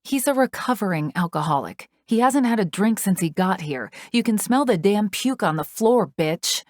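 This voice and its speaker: A woman's voice: medium pitch, lively intonation, moderate pace, no room sound, studio quality, pitched above the performer's own voice, close to an everyday voice. A woman voicing a desperate, angry young woman patient who screams and pleads. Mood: defiant.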